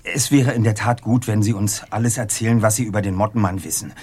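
A man's voice: lowish voice, fast, darkish tone, breathy edge. An actor playing a clever, pompous teenage boy detective in an audio drama, speaking precisely and formally. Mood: firm, businesslike. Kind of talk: German audio drama acting.

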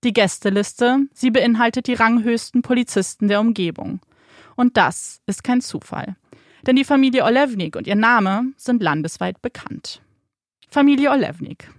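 A young woman co-hosting a podcast, narrating a crime case in a slow, suspenseful storytelling manner with rhetorical questions. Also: fairly high pitch, no echo, clean studio sound. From Germany, raised bilingual by Polish parents. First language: Polish. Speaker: podcast co-host telling the case. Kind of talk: dramatic true crime storytelling. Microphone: studio microphone.

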